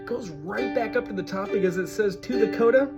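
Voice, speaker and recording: A man's voice: sits high, fairly quick, over loud music. A man teaching a casual ukulele lesson, talking through chords in a friendly, chatty way and singing along. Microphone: recorded alongside his own ukulele playing. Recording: ukulele loud under voice.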